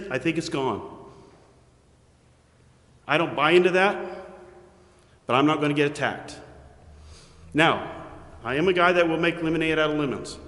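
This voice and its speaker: A man's voice: fairly high pitch, a bit bright. A man making an unscripted public comment at a meeting, speaking bluntly and indignantly in short, emphatic declarations. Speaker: citizen commenter and local investor at a council meeting. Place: council chambers, indoors. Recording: echoey, reverberant meeting hall.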